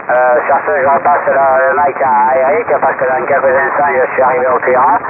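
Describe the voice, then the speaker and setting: A man talking casually in halting pieces as a mobile radio operator, heard over a radio transmission. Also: medium pitch, little pitch movement. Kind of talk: casual radio contact chat. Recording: narrow tinny radio link, clear noise.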